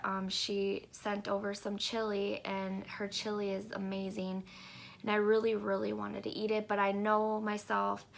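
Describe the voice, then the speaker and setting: A woman's voice: medium pitch, creaky, fairly bright tone. A woman vlogger giving a casual update, congested and rambling with lots of hesitant ums. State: congested, stuffy nose. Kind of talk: casual pregnancy update vlog.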